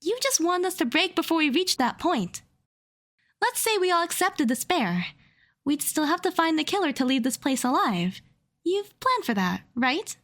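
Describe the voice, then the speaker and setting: Defiant young woman, played by an actress, high lively voice, confident and methodical, audio drama courtroom challenger. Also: moderate pace. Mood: defiant, reasoning. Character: defiant, sharp-minded young woman arguing in a trial.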